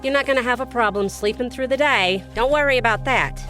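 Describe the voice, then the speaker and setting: A woman's voice: on the high side, a little fast, bright, forceful tone, faint music behind. A woman playing a streetwise, protective female vampire in an audio drama, talking informally, frankly and reassuringly.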